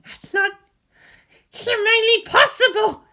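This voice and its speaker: A performer voicing a fiery, defiant teenage girl pilot with shrill, explosive exclamations in an audiobook-style story. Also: steady tone, slow pace, pushed and bright, exaggerated voice. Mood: strained, astonished.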